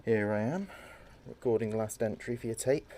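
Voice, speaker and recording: An actor playing a grieving son who talks reflectively and haltingly to his late father in an audio drama monologue. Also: on the low side, dark tone, a little hiss or hum, lightly acted. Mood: subdued, wistful.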